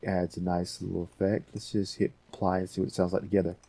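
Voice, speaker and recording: A man hosting a casual software tutorial, thinking aloud in an unscripted way. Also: sits low, level tone, fast, gravelly, over a noisy crowd. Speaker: tutorial host.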